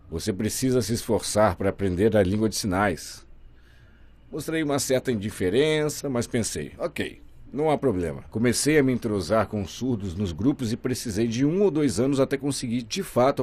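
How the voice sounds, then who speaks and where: A man with a low, husky voice speaks in the first person, interpreting a guest's signing live. His pitch rises and falls a great deal as he tells the story expressively. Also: expressive, moderate pace, darkish tone.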